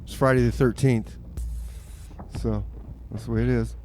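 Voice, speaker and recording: A man's voice: medium pitch, fairly even intonation, normal speed, a little mellow, slight background noise. A man hosting a community radio music show with casual, folksy, laid-back patter.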